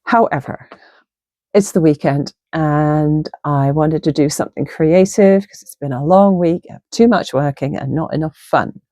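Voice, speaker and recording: A middle-aged woman chatting casually through a sewing project in her home sewing room, hoarse from a chest virus, upbeat and friendly. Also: sits low, measured pace, warm tone, dry recording, clean studio sound. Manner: chatty and upbeat, talks while working. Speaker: sewing vlogger. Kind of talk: casual craft tutorial talk. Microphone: clip-on lapel mic.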